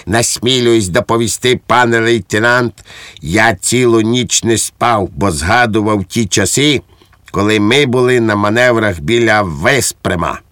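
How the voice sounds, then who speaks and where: A man's voice: fairly low pitch, a little slow, a bit bright, no room sound, clean studio sound, voice pushed up. A man voicing an unflappable, naively obedient common soldier who rambles earnestly in an audiobook. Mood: earnest, rambling. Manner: earnest, untroubled, long-winded. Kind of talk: audiobook character acting.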